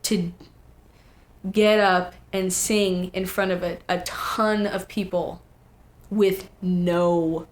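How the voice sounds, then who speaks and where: A teenage young woman, a guest singer, telling stories in casual, animated chat full of quoted asides. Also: lowish voice, slow, slight background noise.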